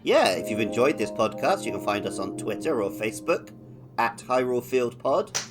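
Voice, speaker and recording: Male co-host, average-pitched voice, relaxed podcast chat, soft music behind. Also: moderate pace. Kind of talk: casual video game discussion.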